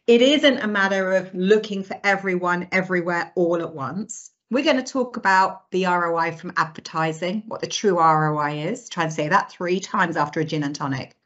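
A woman's voice: on the low side, normal speed, few pauses, a bit bright, dull audio. A woman hosting a podcast, upbeat and jokey as she introduces the topic and puts questions to her guest. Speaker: podcast host.

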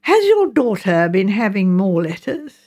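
A woman voicing a polite, bewildered London gentleman in an audiobook, speaking courteously and haltingly. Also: medium pitch, big pitch swings. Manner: hesitant, courteous, stammering slightly. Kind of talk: audiobook character dialogue.

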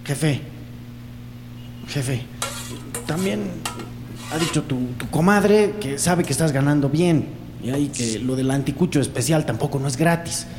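An echoey, reverberant recording carries a dim Bolivian henchman played by a man in a radio drama. His slightly high voice is deferential, flustered and eager to please, and he takes everything literally. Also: a little slow, long pauses, natural voice. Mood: flustered, defensive. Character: servile, dim, eager male henchman of crime boss. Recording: echoey, reverberant space with faint noise.

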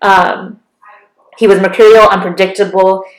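A woman's voice: medium pitch, flat delivery, normal speed, roomy sound, low-quality recording. A young woman presenting history in a casual chat, explaining with many fillers and laughing easily.

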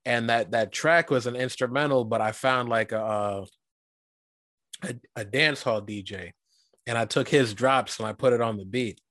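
Relaxed, rambling host from Guyana. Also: male voice, medium pitch, normal speed, dry recording, studio quality. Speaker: podcast host. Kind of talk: casual interview chat.

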